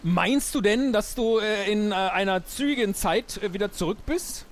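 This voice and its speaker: A man's voice: high voice, moderate pace. A man playing a breezy, bumbling pirate adventurer in his forties, rambling jovially in an improvised audio play. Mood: hesitant, rambling.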